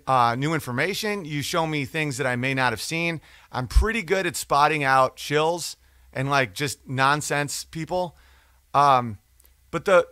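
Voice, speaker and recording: A man's voice: fairly high pitch, pushed and bright, dry recording, clean studio sound. A man hosting a podcast, talking in a casual, digressive, profane monologue as he reads out and answers comments. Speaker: podcast or livestream host.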